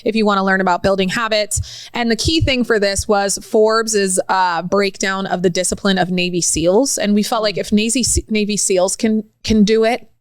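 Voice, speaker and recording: A young woman co-hosting a podcast in casual chat, animated and quick to laugh. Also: mid-range voice, clean tone, dry recording. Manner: animated, laughs often, quotes herself in raised voice. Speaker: podcast co-host.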